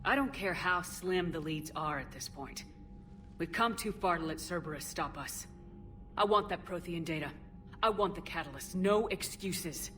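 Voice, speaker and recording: A woman's voice: on the low side, normal speed, a bit bright, faint music behind, natural voice. An actress playing a determined, battle-weary female military commander in a video game, terse and grave. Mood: stern, determined. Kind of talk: dramatic video game acting.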